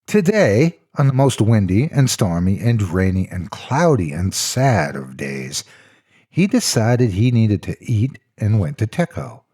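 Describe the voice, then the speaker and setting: Male narrator, husky, lowish pitch, very animated swings, theatrical, mock-serious, scripted storytelling. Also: a little slow. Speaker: narrator of horror stories.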